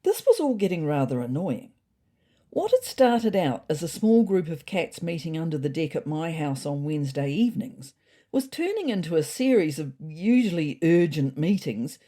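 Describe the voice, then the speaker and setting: A woman's voice: on the low side, mellow. A woman voicing a thoughtful, slightly neurotic cat reading out a comic diary, dry and mildly exasperated. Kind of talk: scripted comic diary narration.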